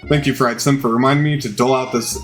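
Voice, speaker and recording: A man's voice: mid-range voice, fairly even intonation, quick pace, soft background music, close to an everyday voice. A man voicing a small, pompous dog professor in a video game, speaking in a formal, wordy, announcing manner.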